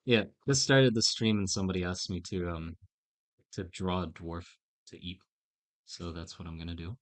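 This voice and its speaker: Deep-voiced man rambling on a stream. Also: fairly even intonation. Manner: rambling and halting, self-mocking. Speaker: live streamer drawing on stream. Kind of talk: casual live-stream chat.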